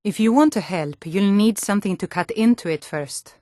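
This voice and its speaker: An actress playing a hardy Nordic woman of a fantasy realm in a video game, speaking in a firm, earnest, deliberate way. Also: medium pitch, expressive, warm tone, no room sound, studio quality, close to an everyday voice. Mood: solemn, reverent. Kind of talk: scripted fantasy video game character dialogue.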